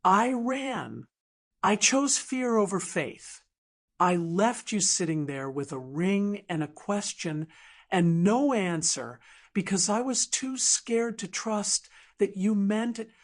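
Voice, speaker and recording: A woman playing a wounded, regretful woman in an audio drama, confessing in an earnest, halting, emotional way. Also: mid-range voice, expressive. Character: wounded, regretful woman confessing her fears to a lost love.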